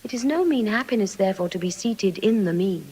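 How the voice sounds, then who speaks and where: An actress with a British accent playing a sensible, gently chiding waiting-woman, composed and sententious, in classical Shakespearean style. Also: mid-range voice, expressive, brisk. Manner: composed, sententious, crisply phrased.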